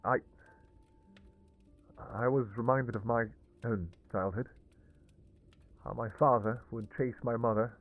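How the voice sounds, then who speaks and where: A man playing an anguished, devoted vampire king in a fantasy romance audio roleplay, speaking in halting, pleading, formal old-fashioned phrases. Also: mid-range voice, narrow, tinny audio, lightly acted. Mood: regretful. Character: anguished, devoted vampire king, obsessive lover and new father.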